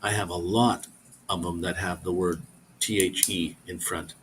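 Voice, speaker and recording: A man's voice: sits low, steady tone, normal speed, a bit bright, slight background noise. A middle-aged man hosting a casual live stream, rambling as he reads viewer comments aloud and answers them.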